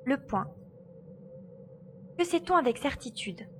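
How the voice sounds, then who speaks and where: A woman's voice: high voice, animated, slow, slight background noise. A woman acting a bold, decisive young girl adventurer in a French audio drama. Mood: pensive.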